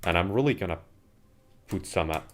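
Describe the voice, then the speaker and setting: Low-voiced Finnish man livestreaming a game. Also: animated, brisk. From Finland. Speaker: live streamer playing a video game. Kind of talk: casual livestream gaming commentary, chatting with viewers. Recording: noisy home stream with keyboard clicks. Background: keyboard and mouse clicking.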